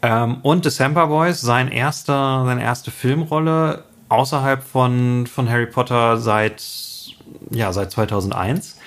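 Mann, der zögernd Filmfakten erklärt. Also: male voice, mid-range voice, a bit bright. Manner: explains with many fillers, pauses and restarts. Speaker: podcast co-host. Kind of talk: casual film podcast chat.

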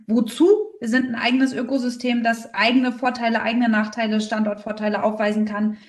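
A woman with a fairly high voice, recorded at a distance from the mic in an echoey room. She answers interview questions conversationally as a founder, stressing her points by repeating words. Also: little pitch movement, brisk, slight background noise. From Berlin, Germany. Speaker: startup founder being interviewed.

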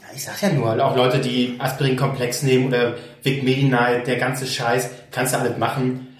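A man's voice: mid-range voice, little pitch movement, moderate pace, distant mic. A man with a cold and sore throat, co-hosting a casual chat and explaining things with a teasing edge. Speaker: podcast co-host. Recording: very echoey room, off mic.

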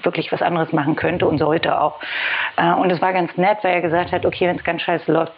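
A woman's voice: lowish voice, level tone, brisk, breathy edge. A woman in her forties from Berlin, chatting informally as a podcast guest and telling her own story in a self-deprecating way. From Berlin, Germany. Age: 40s. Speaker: podcast guest, communications professional. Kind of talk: conversational autobiographical storytelling in an interview. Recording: dull lo-fi audio with faint noise.

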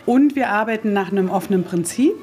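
A woman's voice: medium pitch, expressive, quick pace, mellow. A woman in her fifties who runs a daycare centre, giving rambling, self-correcting answers with a wry touch. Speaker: daycare director. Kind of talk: interview answers.

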